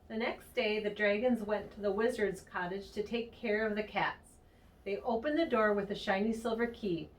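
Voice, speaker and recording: A woman's voice: medium pitch, normal speed, slight background noise. A woman reading a children's storybook aloud. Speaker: storybook reader. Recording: distant mic.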